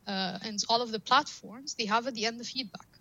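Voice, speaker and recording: A woman with a bright, forceful, fairly high voice speaks as an interview guest. Arabic is her first language. She explains her professional ideas earnestly and reaches for analogies, in a very dry recording with no room sound. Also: a little fast, no echo, muffled recording. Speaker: guest, data science professional.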